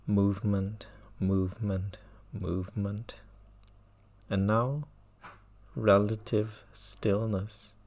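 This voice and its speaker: Slow, low-voiced man guiding meditation. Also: flat delivery, a little mellow. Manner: measured, with long pauses and repeated phrases. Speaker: meditation teacher. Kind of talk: guided mindfulness meditation.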